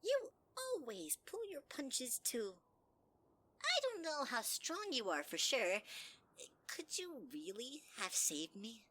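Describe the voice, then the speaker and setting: A sickly-sweet flower creature purring threats in a bright, high, swooping singsong. He drawls slowly and mocks with false kindness. A faint hiss of noise sits behind him in this fan audio drama. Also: wildly swooping pitch, exaggerated voice. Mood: probing. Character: sugary, sinister male flower creature, manipulative.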